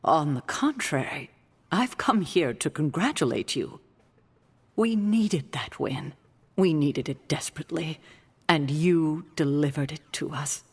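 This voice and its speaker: Game mentor: low, husky female voice, earnest, weary and proud, set in a long reverberant hall. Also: lively intonation, a little slow, a little mellow, heavily acted. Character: weary, proud, self-sacrificing woman mentor without powers. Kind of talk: dramatic video game dialogue.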